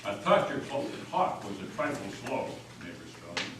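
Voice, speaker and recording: A man's voice: lowish voice, very animated, moderate pace, distant mic. Heard from afar in a very echoey, noisy hall, a man acts out a hearty, commanding male lawyer on stage. He is unflappable and courtly, pressing his words with cool emphasis like an orator in a folk tale reading. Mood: cool, challenging.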